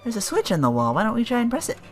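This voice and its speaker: A man's voice: high voice, wildly swooping pitch, racing, voice pushed up. A man voicing an eager female fairy companion, perky and urging, as he reads game dialogue aloud. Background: faint game music.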